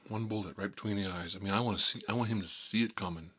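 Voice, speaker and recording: A man's voice: fairly low pitch, fast, a bit bright, slight background noise, lightly acted. A man playing a talkative, hot-headed mob boss in an improvised ASMR comedy monologue, rambling and repeating himself in streetwise slang. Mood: aggrieved, boastful.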